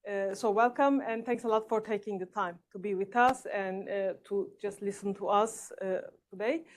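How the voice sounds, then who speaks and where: A woman from Turkey introducing herself on a panel at an event, in a friendly manner with frequent filler pauses. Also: mid-range voice, a little hiss or hum. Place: event stage in a large hall.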